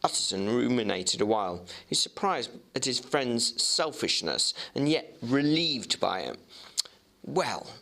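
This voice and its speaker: A man narrating classic fiction in a theatrical, expressive way, as a dramatic reading. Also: medium pitch, moderate pace, vocal fry, few pauses.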